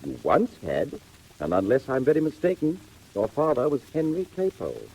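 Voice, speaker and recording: A kindly, excitable gentleman of means in a 1940s audio drama. His husky voice ranges very widely, courteous and inquisitive with theatrical flourish. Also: mid-range voice, normal speed, heavily acted, poor audio. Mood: intrigued.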